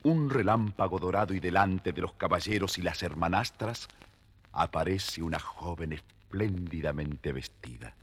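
A man's voice: low-pitched, animated, normal speed, noisy background. A man narrating a scripted children's fairy tale as storyteller.

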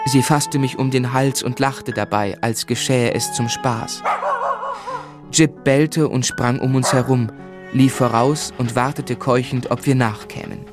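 An actor playing a gentle, melancholy gentleman who narrates his life in a literary, lyrical radio play style. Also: medium pitch, close to an everyday voice. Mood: wistful. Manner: lyrical, reflective.

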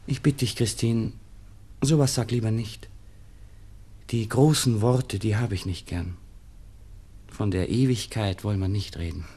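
An actor playing a charming but evasive young Viennese lover in a radio play, gentle and courteous, with a Viennese accent. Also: male voice, on the low side, measured pace, long pauses, breathy edge. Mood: uneasy, gentle. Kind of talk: 1960s German radio play acting. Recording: 1960s radio studio recording.

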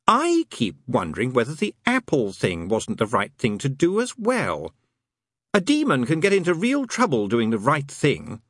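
A man's voice: medium pitch, wildly swooping pitch, moderate pace, fairly bright tone, slightly rough, dry recording, studio quality, voice pushed up, full character voice. A man voicing a sarcastic male demon who needles and teases in a wry drawl. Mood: sardonic. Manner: sarcastic, teasing.

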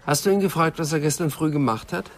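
An actor playing a wry, teasing police assistant in a radio crime drama, talking in a colloquial, dryly ironic way. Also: male voice, fairly high pitch, quick pace, close to an everyday voice. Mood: dry, businesslike. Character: wry, teasing male police assistant reporting to his inspector.

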